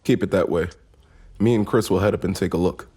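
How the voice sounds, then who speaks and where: Man playing a deep-voiced, tired police sergeant. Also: a little fast, mellow, slight background noise, close to an everyday voice. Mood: businesslike. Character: tired male police sergeant, businesslike and collegial. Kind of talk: crime audio drama dialogue.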